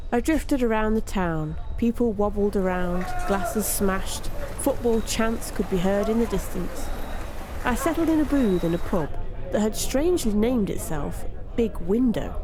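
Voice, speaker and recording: A woman reading a first-person story aloud in an expressive, theatrical storytelling manner. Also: medium pitch, noisy background. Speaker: story narrator.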